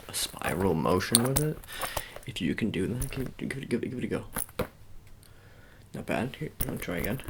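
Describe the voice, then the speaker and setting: A man's voice: fairly low pitch, vocal fry, background noise, full character voice. A man playing an awkward, politely doubtful sports agent in an improvised ASMR roleplay, stammering and repeating his phrases as he talks to the listener.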